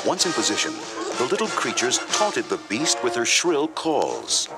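A man's voice: mid-range voice, huge pitch swings, normal speed. A man reading a children's adventure story as a dramatic scripted narration. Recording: loud music behind the voice.

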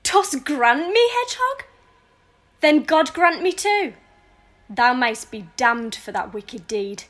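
A woman's voice: high-pitched, big pitch swings, takes it slowly, a bit bright, heavily acted. A woman playing a grieving, furious widow in a theatrical, scornful verse audio drama. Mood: outraged. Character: grieving, furious widow cursing her husband's killer.

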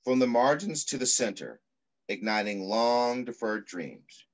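A middle-aged man reading aloud in the style of a children's picture book, with measured, deliberate phrasing. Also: medium pitch, expressive. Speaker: pediatrician reading a story aloud. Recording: muffled video call audio.

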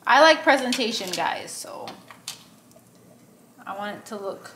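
A woman's voice: on the high side, lively intonation, measured pace, fairly bright tone. A woman in her thirties chatting playfully to viewers while cooking in a home kitchen, teasing her family. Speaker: vlog co-host and mom cooking. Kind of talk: casual family cooking vlog chat. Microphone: camera mic at a distance. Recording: echoey kitchen with background noise.

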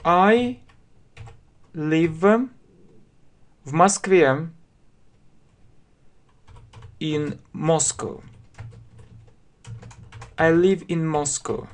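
A man in his thirties with a Russian accent, teaching a language lesson very slowly, spelling out phrases word by word and repeating them at a desk webcam. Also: on the high side. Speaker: English teacher. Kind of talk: slow step-by-step language lesson. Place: small room indoors.

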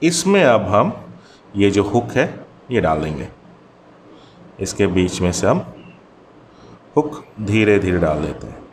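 A man's voice: low-pitched, big pitch swings, slow pace, long pauses, slight background noise. A man whose first language is Bengali hosts a hands-on fishing tutorial, chatting through each step and calling the viewer brother. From Bangladesh. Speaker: fishing channel host.

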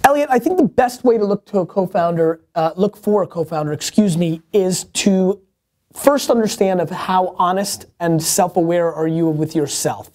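Blunt, emphatic man with a mellow voice. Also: high-pitched. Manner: emphatic, fast, blunt, gestures a lot. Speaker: host answering viewer questions. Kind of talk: casual advice talk. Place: large open-plan office, indoors.

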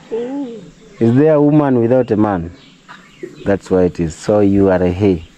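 A young Kenyan man with a Kenyan accent talks casually to camera outdoors at his family home, and English is not his first language. His voice is mellow and relaxed, pitched in the middle range. A faint background noise sits under the speech. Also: highs cut off. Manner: chatty, preachy, addresses viewers as guys. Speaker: vlogger. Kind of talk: casual vlog talk to camera.

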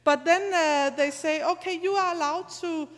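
A middle-aged woman gives an informal academic talk as a university lecturer, speaking in a halting, conversational, explanatory way into a handheld microphone. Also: very high-pitched. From Scandinavia. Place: large lecture room, indoors. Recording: reverberant lecture hall PA.

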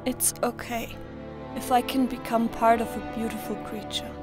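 A woman voicing a solemn, devoted young monster trainer in a manga dub, soft and wistful in delivery. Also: on the high side, flat delivery, normal speed, lightly acted. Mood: accepting. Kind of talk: dramatic flashback narration in a manga dub. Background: music.

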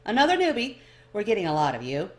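A woman's voice: mid-range voice, wildly swooping pitch, fast, pitched above the performer's own voice. A woman voicing an amicable, shrewd male dwarf with a breezy, teasing manner in a fantasy audiobook. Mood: friendly, teasing. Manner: friendly, breezy.

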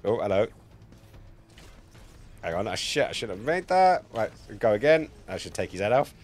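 An animated man streams a video game with music playing behind him. He rambles casually between the action and chat, joking and swearing freely into a close mic. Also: on the low side, normal speed. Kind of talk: casual live-stream gameplay commentary and chat. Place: indoor home streaming setup. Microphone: close streaming mic on a boom arm.

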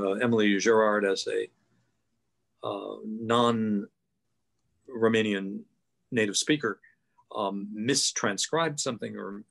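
Older man, low-pitched voice, slow thoughtful explanations, headset mic. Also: vocal fry, a bit bright. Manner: thoughtful, explanatory, many filler pauses. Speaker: guest author. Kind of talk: online panel discussion. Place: home room, video call.

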